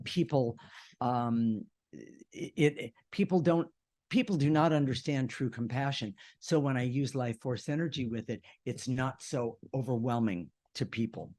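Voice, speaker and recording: A man with a deep voice talking casually and earnestly, with emphasis, as co-host of an unscripted discussion show. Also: a little mellow.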